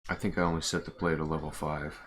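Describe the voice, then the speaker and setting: A man's voice: rumbling low, little pitch movement, quick pace. A man thinking aloud casually while screen recording, muttering to himself in an offhand way. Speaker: game modder recording his screen. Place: indoors at a computer desk. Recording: clear background noise, keyboard clicks. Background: typing and mouse clicks.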